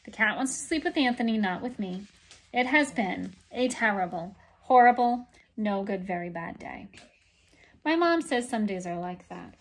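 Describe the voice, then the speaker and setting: A woman in her forties reading a children's picture book aloud expressively, pausing for dramatic emphasis on key words. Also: mid-range voice, animated.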